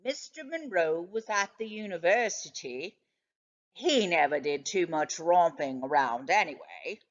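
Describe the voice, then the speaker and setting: A woman reading a children's story aloud in an expressive narrating style. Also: medium pitch, dull audio. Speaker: storybook reader.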